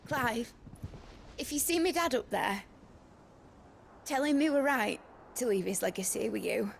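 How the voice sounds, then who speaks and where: A spirited young woman in a fantasy game, fond and teasing. Her high voice cracks with creak, and she talks informally. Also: lively intonation, unhurried, a little hiss or hum. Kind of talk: video game cutscene acting.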